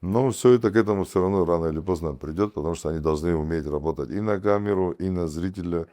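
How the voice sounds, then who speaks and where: Very low-voiced Abkhaz man. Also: quick pace. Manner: animated, with many fillers and restarts. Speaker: guest, comedy team captain and producer. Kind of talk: casual interview talk.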